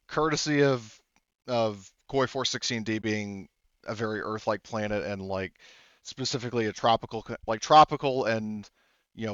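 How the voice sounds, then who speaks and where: A man narrating a tabletop roleplaying game as game master, casually improvising and haltingly feeling his way through the details. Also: fairly low pitch, a bit bright, dry recording, lo-fi sound.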